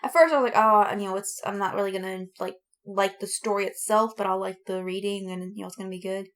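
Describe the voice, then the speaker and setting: A woman's voice: mid-range voice, fairly even intonation, fast talker, a bit bright. Enthusiastic woman in casual podcast chat. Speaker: podcast co-host. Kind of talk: casual chat about stories and media.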